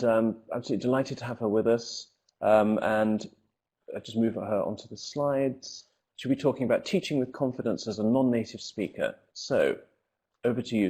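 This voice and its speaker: A man's voice: medium pitch, darkish tone. A man hosting a conference session, introducing the next speaker in a friendly way with a few ums. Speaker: conference host.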